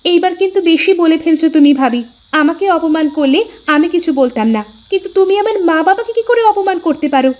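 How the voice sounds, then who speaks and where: A woman's voice: very high-pitched, expressive, fast, darkish tone. A woman voicing a dutiful, proud young village daughter-in-law in a cartoon story, on a dull recording. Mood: indignant. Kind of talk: Bengali cartoon dialogue.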